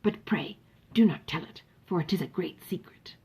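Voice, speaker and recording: Woman playing a conspiratorial, whispering gentleman. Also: fairly low pitch, moderate pace, slightly rough. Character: jovial gentleman sharing a secret, loudly whispering.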